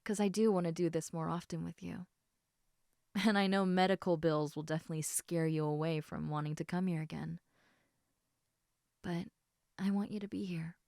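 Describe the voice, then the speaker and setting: A woman's voice: lowish voice, fairly even intonation, moderate pace, creaky, long pauses, a little mellow, no echo. Woman voicing a caring, snarky lamia, close and dry. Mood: soft, caring. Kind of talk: intimate audio roleplay addressed to the listener.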